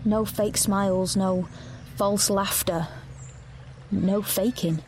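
A woman's voice: medium pitch, steady tone, slow pace, darkish tone, lightly acted. An actress playing a guarded, conflicted teenage girl in an audio drama, earnest and defensive. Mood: confiding. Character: guarded, conflicted teenage girl protagonist narrating her story. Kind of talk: audio drama dialogue and first-person narration. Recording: background noise, outdoor ambience.

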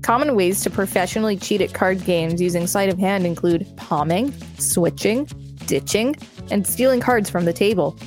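A woman's voice: medium pitch, normal speed, darkish tone, soft background music. A woman co-hosting a podcast, reading an encyclopedia article aloud and chatting casually. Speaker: podcast co-host.

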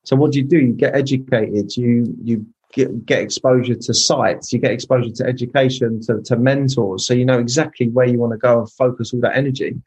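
Brit guy in his 30s, talks it through in stages, keeps restarting, medium pitch. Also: fairly even intonation, a little fast, hardly any pauses, a little mellow, rough recording. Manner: explains step by step with many restarts. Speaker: property investor guest. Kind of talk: casual podcast chat.

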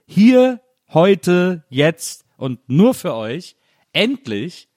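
A man's voice: medium pitch, darkish tone, dry recording, studio quality. A man hosting a casual chat, announcing things to listeners in an enthusiastic, emphatic way. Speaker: host.

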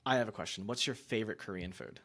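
A man hosting a podcast, musing in a relaxed, conversational way and often using fillers. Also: lowish voice, fast. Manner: reflective, musing, with loose fillers like "like" and "you know". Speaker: podcast host. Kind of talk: casual conversational chat.

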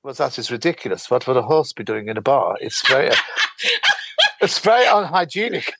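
A man co-hosting a comedy podcast, telling animated anecdotes and doing quick comic voices. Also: medium pitch, gravelly, very noisy. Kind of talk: casual chat.

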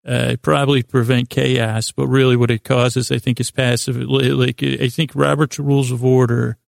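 A man hosting a bedtime podcast in a creaky, gravelly voice, rambling and halting, repeating himself and wandering into absurd asides. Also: mid-range voice, level tone, dry recording, studio quality. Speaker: podcast host. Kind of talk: rambling bedtime monologue to help listeners sleep.

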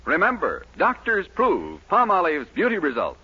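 A man's voice: high voice, wildly swooping pitch, normal speed, very noisy. A man reading radio commercials in an upbeat, salesman-like 1940s announcer style. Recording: dull lo-fi 1940s radio broadcast.

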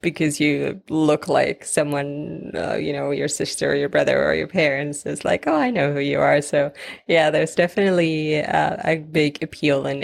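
A woman's voice: sits low, creaky. A woman from Finland hosting an interview, relating to her guest and asking long, considered questions. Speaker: interviewer host. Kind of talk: casual interview conversation.

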